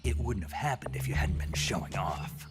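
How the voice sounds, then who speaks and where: An actor playing a gruff, guarded special-forces soldier in a video game, terse and deadpan, in a gravelly, breathy murmur. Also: male voice, low voice, normal speed, whispered, over loud music. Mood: dry, taunting. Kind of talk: video game cutscene acting.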